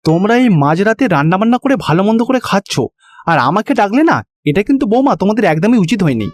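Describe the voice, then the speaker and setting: Man playing a high-pitched, chiding Bengali father-in-law. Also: big pitch swings, fast talker. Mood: mock reproach. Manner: chiding, familiar. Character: reasonable older father-in-law, gently chiding. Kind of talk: moral-story cartoon voice acting.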